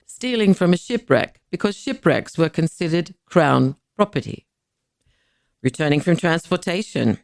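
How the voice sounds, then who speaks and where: A woman reading scripted documentary narration in a measured, explanatory way, stressing key words. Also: sits low, gravelly, a little mellow, dry recording, clean studio sound. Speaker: podcast host and narrator.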